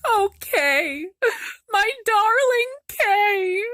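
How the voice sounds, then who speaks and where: A woman's voice: lively intonation, drawn-out words, a bit bright, heavily acted. A woman voicing a timid, earnest young girl who cries easily. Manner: earnest, weepy, high-pitched. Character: timid teary young girl on a rescue quest.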